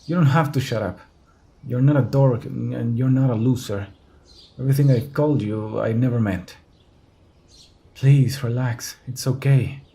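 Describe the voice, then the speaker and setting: A man playing a gruff teenage school bully with a protective, flustered side in a scripted audio roleplay. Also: medium pitch, a little slow, mellow. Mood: flustered, apologetic. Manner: brusque, tender underneath.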